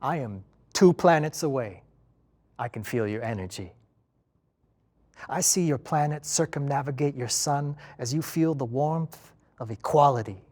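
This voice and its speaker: A man giving an expressive recitation of poetry as a performer. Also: mid-range voice, unhurried. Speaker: performer reading poetry aloud. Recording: music faintly behind the voice.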